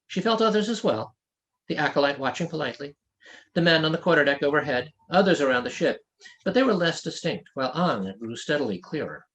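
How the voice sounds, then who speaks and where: A man narrates a fantasy story as its author. His voice is a little high for a man and moves widely in pitch, giving the scripted prose an expressive shape. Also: fairly quick. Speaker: author reading his own novel.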